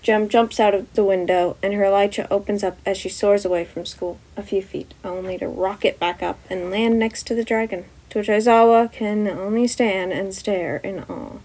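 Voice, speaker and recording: A woman's voice: medium pitch, fairly even intonation, moderate pace, hardly any pauses, darkish tone, low-quality recording. A woman reading scripted story narration as a podfic narrator.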